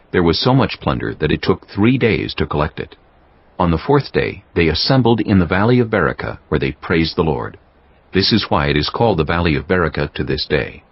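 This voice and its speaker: A man reading scripture as an audiobook narrator in a solemn, measured, scripted style. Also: low-pitched, slightly rough, no echo, dull audio.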